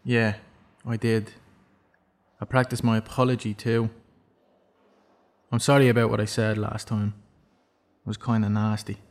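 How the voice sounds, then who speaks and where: A young man acting in an audio drama as a defensive, sardonic prisoner, awkwardly apologetic, terse and halting. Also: fairly low pitch, level tone. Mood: wry, apologetic.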